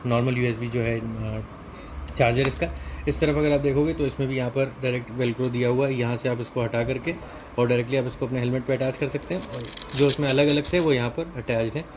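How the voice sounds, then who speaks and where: Male product reviewer, Indian accent, level delivery, explanatory and casual, noisy recording. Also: mid-range voice. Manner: explanatory, mixes in English technical terms. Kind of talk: casual unboxing and product walkthrough.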